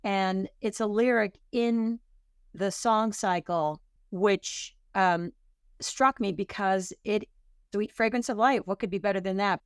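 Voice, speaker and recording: Woman guest, slow and measured, smooth clear tone, thoughtful with restarts. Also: medium pitch, dry recording, studio quality. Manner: thoughtful, pauses and restarts mid-sentence. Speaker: guest author. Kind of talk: casual interview talk.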